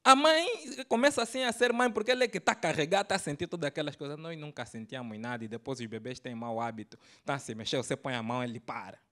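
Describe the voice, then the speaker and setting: A man in his thirties with an Angolan Portuguese accent, doing a stand-up set on a comedy club stage into a handheld mic, swinging from loud bursts to low slangy asides. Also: fast talker, hardly any pauses. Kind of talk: stand-up routine.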